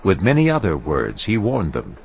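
A man's voice: sits low, wildly swooping pitch, moderate pace, breathy edge. A man reading scripted narration for a dramatized scripture audiobook. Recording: dull sound with music underneath.

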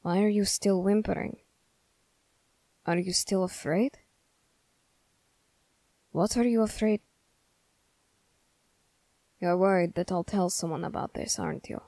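A woman voicing a stern, wary young male soldier in measured, formal, halting phrases for an ASMR roleplay. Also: mid-range voice, steady tone, vocal fry, dark tone, no room sound. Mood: gently stern. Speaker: roleplay voice performer. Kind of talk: ASMR character roleplay addressed to the listener.

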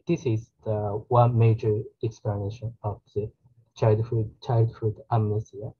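Slow, husky man on a video call. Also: lowish voice, flat delivery, highs cut off. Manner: halting, full of restarts. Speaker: podcast co-host. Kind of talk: academic discussion over a video call.

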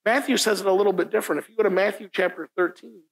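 A man's voice: sits high, racing, vocal fry. A middle-aged man whose first language is Italian, preaching a conversational sermon at a lectern in a church room. He talks informally, often asks "right?", and repeats key lines for emphasis. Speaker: pastor. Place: church meeting room, indoors, standing at a lectern.

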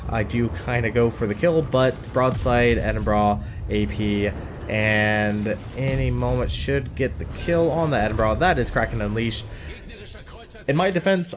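A man's voice: medium pitch, slight background noise. A man with a stuffed-up, nasal voice commentating his own naval game in a matter-of-fact way, with frequent hesitations and apologetic asides. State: stuffed-up nose. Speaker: gameplay commentator.

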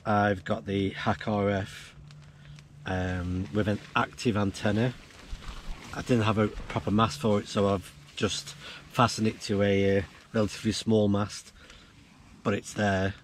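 A man's voice: fairly low pitch, level tone, measured pace, slight background noise. A middle-aged man explaining things casually in a vlog, recorded on a camera while camping. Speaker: vlogger demonstrating off-grid radio gear. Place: outdoors in a windy field and inside a canvas tent.

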